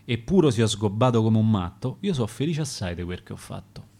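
A man's voice: lowish voice, big pitch swings, fairly quick, mellow. A man with a Roman accent voicing a proud, hearty old dead grandfather who once ran a restaurant. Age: older.

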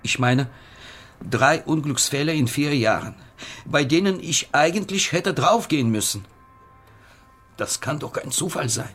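Agitated, death-haunted man, lively pitch. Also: unhurried, pauses often, slightly rough, soft background music, full character voice. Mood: breathless recounting. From Croatia. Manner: insistent, excitable, dropping into whispers. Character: agitated male patient convinced Death is hunting him. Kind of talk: radio play acting.

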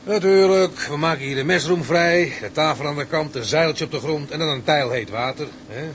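An actor playing a practical, organising ship's hand in a radio drama. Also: male voice, on the high side, normal speed, lightly acted. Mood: brisk, practical. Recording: noisy recording with background ambience.

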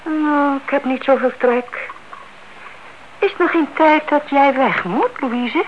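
An actress playing a fretful, suspicious older aunt in a Dutch radio play, speaking politely and anxiously. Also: female voice, sits high, slow. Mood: flustered. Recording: dull, hissy old radio recording.

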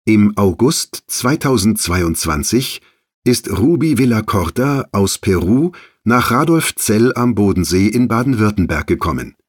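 A man's voice: on the low side, animated, measured pace, husky, dry recording, clean studio sound. A man presenting an audio magazine, reading scripted introductions for language learners.

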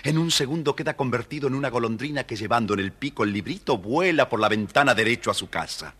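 A man narrating a scripted children's story in a dramatic, expressive way. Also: mid-range voice, quick pace, breathy edge.

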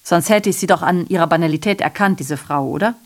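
A woman's voice: on the low side, fast talker, lightly acted. An actress playing a sardonic, aggrieved female messenger in a radio drama, delivering a digressive monologue full of rhetorical questions and self-corrections. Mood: reflective.

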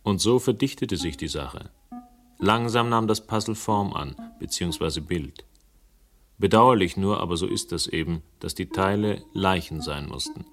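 A world-weary man narrating a radio crime story, in a very low voice over music. His delivery is laconic, literary and dryly ironic. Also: normal speed. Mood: sardonic. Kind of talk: scripted radio drama narration.

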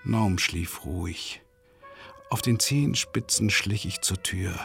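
An actor playing a tormented, self-doubting man who narrates a radio drama monologue in a brooding, intense way, with a gravelly, husky voice. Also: sits low, slow, over music, heavily acted. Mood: anxious, hushed. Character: tormented, self-doubting, impoverished male travelling lecturer. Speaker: first-person narrator.

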